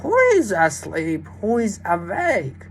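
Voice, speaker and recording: A woman voicing a big, sly, child-eating monster in a fairy tale, with slow, drawn-out questioning. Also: on the low side, very animated, vocal fry, a little hiss or hum, heavily acted. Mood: sly, impatient.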